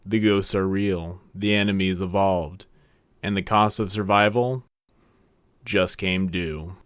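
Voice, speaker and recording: Man acting ominous war-radio announcer, slow pace, low pitch, dramatic, scripted intro. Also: fairly even intonation, fairly bright tone, no room sound, studio quality. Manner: portentous, dramatic.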